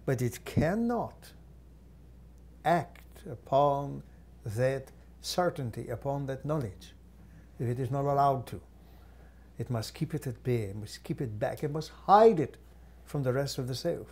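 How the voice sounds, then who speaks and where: Slow, formal male channeler. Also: mid-range voice, lively intonation, a little mellow. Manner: measured, formal, with long pauses and hums. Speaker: channeler speaking as a guide entity. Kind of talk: slow deliberate channeled teaching.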